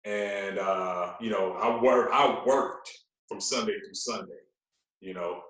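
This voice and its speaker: A man's voice: lowish voice, fairly even intonation, takes it slowly, fairly bright tone. An American man in his forties from Florida, a radio personality chatting casually as a podcast guest and repeating words for emphasis. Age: 40s. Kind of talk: casual interview storytelling. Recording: very echoey, roomy sound.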